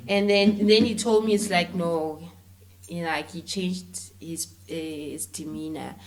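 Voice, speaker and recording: A woman with a South African accent and a slightly low voice. She chats informally and in an animated way as a guest in a relaxed interview, switching between languages. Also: unhurried, rough recording. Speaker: interview guest, filmmaker and content creator. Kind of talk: casual conversational interview, code-switching.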